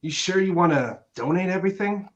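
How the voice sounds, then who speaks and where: A man's voice: sits high, lively intonation, a little fast. A man acting an earnest, awkward, conventional boyfriend with a hesitant delivery, in a dramatic script reading. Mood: hurt, restrained. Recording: dull, lo-fi sound.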